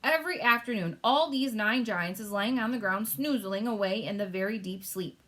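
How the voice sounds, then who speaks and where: A woman's voice: mid-range voice, normal speed, a bit bright, lightly acted. A woman reading aloud and voicing a big friendly male giant who jumbles his words cheerfully. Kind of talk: storybook read-aloud.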